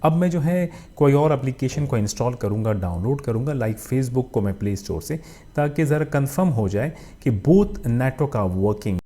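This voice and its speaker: A man's voice: medium pitch, lively intonation, normal speed, warm tone. A man with a Pakistani accent narrating a casual phone review as a channel host, often using filler phrases and mixing in English tech terms.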